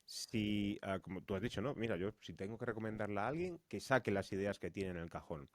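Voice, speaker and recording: Man, fairly low pitch, casual podcast talk, dry acoustics. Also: lively intonation, fairly quick, no echo. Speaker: podcast host. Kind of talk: casual conversational discussion.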